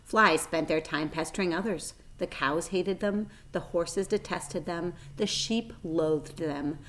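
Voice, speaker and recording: A woman reading a children's story aloud like a teacher, expressive and addressing young listeners. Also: lowish voice, slow pace, slight background noise. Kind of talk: storybook narration.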